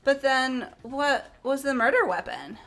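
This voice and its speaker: Woman playing frank doubtful teen girl in a game, casual, highish voice, faint music. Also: expressive, a little slow, creaky, a bit bright, full character voice. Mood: puzzled. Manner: casual, questioning. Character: frank, doubtful teenage girl, plain-spoken. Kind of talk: fan-voiced visual novel game acting.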